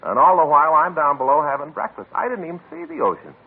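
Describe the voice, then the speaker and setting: An actor playing a chatty police partner who tells a long, aggrieved story in a 1950s radio drama. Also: male voice, fairly high pitch, lively intonation, quick pace, breathy edge. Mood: rueful. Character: chatty male police partner, grumbling about bad luck. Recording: thin, lo-fi old radio broadcast.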